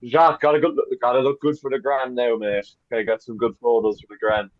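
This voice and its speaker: A man's voice: mid-range voice, little pitch movement, brisk, dull audio. A man co-hosting a podcast chat with dry jokes and mock grumbling. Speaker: podcast co-host. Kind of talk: casual chat over a video call.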